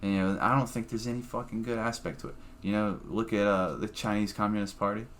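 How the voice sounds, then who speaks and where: Brief: comedian guest, blunt and opinionated, swears freely, lowish voice. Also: male voice, steady tone, fast talker. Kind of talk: casual podcast chat.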